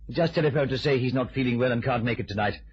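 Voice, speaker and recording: An actor playing an excitable, flamboyant stage actor who talks theatrically, in an old radio drama. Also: male voice, medium pitch, racing, dull audio, crowd noise, natural voice. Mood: fussy.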